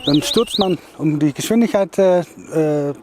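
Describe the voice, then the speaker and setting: A man's voice: fairly high pitch, unhurried, noisy background. A man from the Netherlands, a Dutch native speaker, explaining the flight in hesitant German full of fillers. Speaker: competition pilot.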